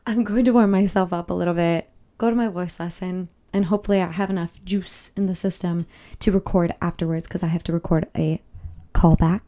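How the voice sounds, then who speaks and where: A woman's voice: lowish voice, fairly even intonation, fairly quick, mellow. A young woman vlogging in a casual, upbeat, chatty way, thinking aloud, her voice a little tired. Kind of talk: casual vlog chat. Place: walking outdoors on a loud city street.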